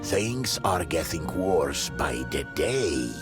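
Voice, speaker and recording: Deep, slow, solemn house spirit. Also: male voice, big pitch swings, full character voice. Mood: grave, foreboding. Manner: slow, mysterious, dramatic storyteller delivery. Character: wise, solemn old male house spirit of the woods. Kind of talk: fairy-tale adventure game voice acting. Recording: music behind the voice.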